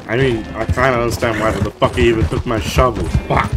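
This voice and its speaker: A man's voice: medium pitch, steady tone, fast talker, loud music behind the voice. A man talking casually as he plays a roleplay game, muttering to himself and swearing. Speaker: roleplay gamer. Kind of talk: casual in-game talk while playing.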